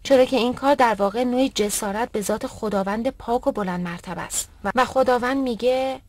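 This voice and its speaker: A woman's voice: on the high side, darkish tone, natural voice. A woman playing a pious, earnest mother instructing her son in an educational cartoon. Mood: instructive. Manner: didactic, earnest. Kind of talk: dubbed educational cartoon dialogue.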